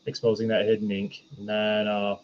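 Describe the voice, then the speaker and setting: A man's voice: lowish voice, completely flat delivery, moderate pace, slight background noise. A man chatting casually as a review panelist, often restarting his sentences, on slightly dull lo-fi audio. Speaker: podcast panelist reviewing films.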